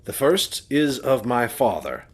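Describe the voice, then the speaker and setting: Casting: earnest, courteous gentleman heir, expressive intonation, slightly rough mid-range voice, audio drama. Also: medium pitch, a little slow. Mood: genial, wry. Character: Sir Henry, polite, anxious gentleman heir.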